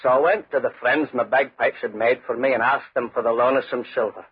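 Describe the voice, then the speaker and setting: A man's voice: medium pitch, fairly even intonation, brisk, husky. An actor playing a humble, uneasy tenant farmer who tells his tale in earnest, folksy 1940s radio style. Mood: earnest storytelling. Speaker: narrator and lead character. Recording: muffled, noisy 1940s radio recording.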